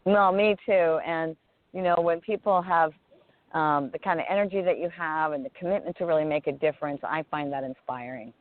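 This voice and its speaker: A woman's voice: low voice, fairly quick, dry recording. A woman hosting an interview show, introducing and questioning her guest with eager enthusiasm. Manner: enthusiastic, uses fillers like "you know". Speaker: host. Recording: dry, tinny call audio.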